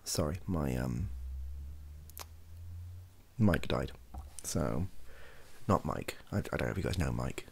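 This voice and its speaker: A young man with an extremely low, husky voice speaks softly in an improvised ramble. His intonation swings around freely as he hesitates, backtracks and makes wry asides. He sounds close to the microphone in a small home room. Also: lively intonation, takes it slowly, pauses often. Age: young adult. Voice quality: husky, gravelly. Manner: hesitant, self-correcting, wry. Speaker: ASMR comedy video creator. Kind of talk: improvised soft-spoken rambling to camera. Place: small home bedroom office.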